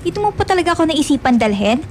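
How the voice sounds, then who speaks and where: A woman's voice: high voice, very animated, rapid-fire, noisy background. A woman playing an earnest, scolding young female student in a radio drama, speaking with a Filipino accent and mixing in English. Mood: annoyed, scolding. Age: young adult. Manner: indignant, mixes Tagalog and English. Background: faint music.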